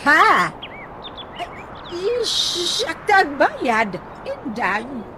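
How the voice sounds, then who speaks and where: A woman's voice: sits high, huge pitch swings, drawn-out words, a crowd in the background, heavily acted. A woman acting a chatty, scheming wife in a radio comedy drama, exclamatory and drawn out in her delivery. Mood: coy, surprised.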